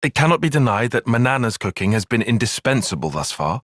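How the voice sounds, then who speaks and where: A man playing a young, analytical soldier tactician in a video game, speaking in precise, formal, measured phrases. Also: medium pitch, very animated, fairly bright tone, no room sound, clean studio sound. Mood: analytical, composed. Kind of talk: video game cutscene voice acting.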